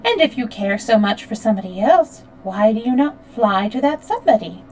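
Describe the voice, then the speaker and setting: A woman reading the lines of a bold, mischievous young actress, bright and teasing. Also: a little high, expressive, fairly quick, low-quality recording. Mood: teasing, defiant. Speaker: audiobook reader. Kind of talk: read-aloud fiction dialogue.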